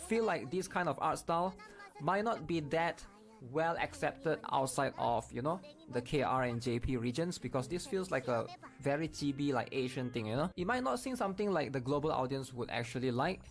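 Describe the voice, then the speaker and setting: A man's voice: a little high, lively intonation, moderate pace, soft background music. A man giving casual, explanatory gameplay commentary, often checking in with his viewers. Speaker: gaming video creator.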